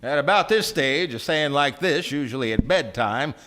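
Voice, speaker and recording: A man's voice: a little high, animated, normal speed, fairly bright tone, slight background noise. A man narrating a scripted story dramatically on a theatre stage. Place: theatre stage, live performance.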